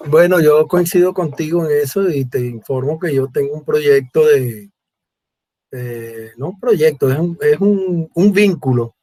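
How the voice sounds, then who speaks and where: A Venezuelan man hosting a conversational online interview, speaking Spanish in a deliberate, halting way with repeated words. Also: a little high, mellow, no echo. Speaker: host, mathematics educator. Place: remote online conversation from Brazil.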